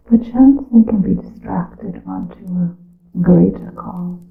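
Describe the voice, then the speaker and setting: A woman whispering an ASMR roleplay as a grave witch, in slow, hushed, theatrical phrasing with a breathy voice. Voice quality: breathy whispering mixed with soft low voice. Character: grave witch counseling her coven in secret. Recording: muffled lo-fi, echoing room.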